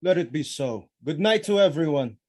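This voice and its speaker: Formal duke played by a man, lightly high. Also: animated, a little mellow. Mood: businesslike, commanding. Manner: formal, measured authority. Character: authoritative, diplomatic male Duke of a state. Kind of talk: Shakespearean audio drama acting.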